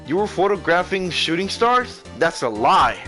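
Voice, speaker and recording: Young male attorney, game courtroom, read by a man: earnest, insistent, animated intonation, music bed. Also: high voice, normal speed, exaggerated voice. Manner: insistent, pointed questioning. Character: earnest, dogged young male defense lawyer. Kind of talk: video game character voice-over.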